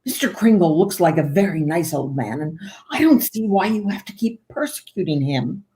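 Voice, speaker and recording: An actress playing a gently disapproving wife and mother in a 1940s-style radio play, softly chiding. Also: fairly low pitch, big pitch swings, normal speed.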